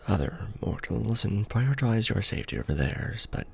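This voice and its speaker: A man as a deep, gravelly, courtly vampire lord. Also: big pitch swings, a little hiss or hum, heavily acted. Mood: earnest, tender. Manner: formal, deliberate, tender, halting pauses. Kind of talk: ASMR romance roleplay.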